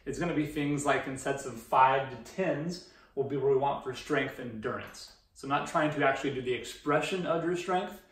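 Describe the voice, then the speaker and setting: A man's voice: medium pitch, normal speed, fairly bright tone. A man in his thirties giving an informal, explanatory presentation as a fitness coach in a conversational way. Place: indoor room beside a presentation screen. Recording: roomy echo, distant microphone.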